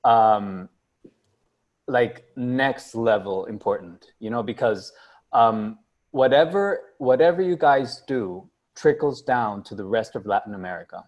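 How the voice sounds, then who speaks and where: A man's voice: medium pitch, a little slow, a little mellow. A man from Costa Rica speaking hesitantly, with many fillers, in a casual discussion. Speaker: panelist.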